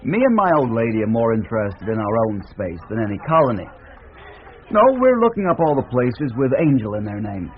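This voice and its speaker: An actor playing an easygoing American hippie drifter with a folksy, hesitant way of talking in a naturalistic radio drama. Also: male voice, mid-range voice, very animated, brisk, natural voice. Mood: earnest, wistful. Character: easygoing drifting American hippie traveler, devoted to his partner. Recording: tinny, band-limited, noisy radio recording.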